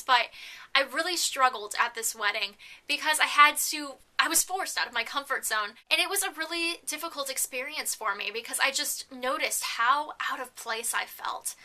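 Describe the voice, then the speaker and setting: A woman in her twenties with a naturally loud, projected voice, earnestly confiding to camera in a rambling, self-deprecating way. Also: high-pitched. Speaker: faith vlogger. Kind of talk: candid confessional talk to camera.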